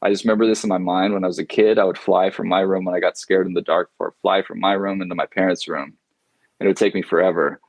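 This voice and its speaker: A man's voice: low voice, steady tone, fast talker, vocal fry, dry recording. A man in his forties who grew up abroad, telling long stories as an interview guest, rambling with many fillers and restarts. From grew up in Indonesia. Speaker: guest musician. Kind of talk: casual interview storytelling.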